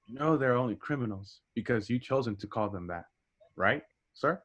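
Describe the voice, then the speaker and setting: A man's voice: medium pitch, animated, normal speed, a bit bright. A man playing a dry, defiant military colonel in a table read. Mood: dry defiance. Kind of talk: amateur table read of a film script. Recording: dull, lo-fi audio.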